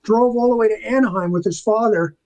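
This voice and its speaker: Older man, high-pitched voice, casual storyteller, enthusiastic, rambling asides, interview guest. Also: a little fast, a little mellow, poor audio.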